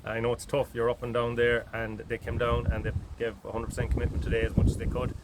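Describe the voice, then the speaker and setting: A man with an Irish accent talks through a post-match interview as a football manager. He is chatty and keeps saying "listen", his voice sitting in a middle pitch. Loud outdoor noise runs under the recording. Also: completely flat delivery, fast. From Ireland. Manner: chatty, repeats "listen" and "delighted".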